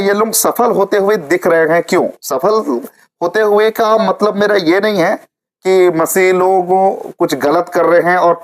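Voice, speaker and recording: A man presenting informal news commentary to viewers, repeating phrases for emphasis. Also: sits high.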